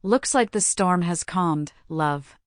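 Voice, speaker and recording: A woman's voice: on the low side, takes it slowly, no room sound. A woman playing a cunning, fierce pirate quartermaster in an audio drama, confident and teasing. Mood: smug.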